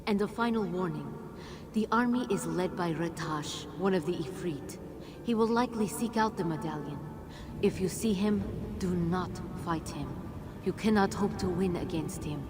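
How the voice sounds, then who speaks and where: A woman's voice: lowish voice, normal speed, noisy background, natural voice. An actress playing an ancient, regal jinn queen in a video game, speaking in a stately, solemn way. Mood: commanding, urgent. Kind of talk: video game cutscene acting. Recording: prominent game music behind the voice. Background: cinematic music.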